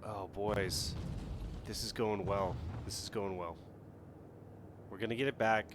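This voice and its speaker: Guy streaming a strategy game, chatty, thinks out loud, bit of faint hiss. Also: lowish voice, fairly even intonation, measured pace. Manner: casual, thinking aloud while playing. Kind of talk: live game commentary. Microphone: headset.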